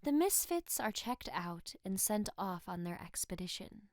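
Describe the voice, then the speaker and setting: Mid-pitched woman narrating fantasy. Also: big pitch swings, a little slow, no room sound, clean studio sound. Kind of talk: scripted fantasy audio drama narration.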